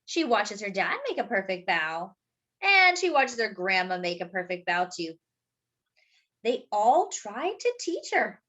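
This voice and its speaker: A woman reading a picture book aloud to young children as a storytime host, expressively, with drawn-out words and little asides to her listeners. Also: medium pitch, big pitch swings, fairly bright tone, lo-fi sound.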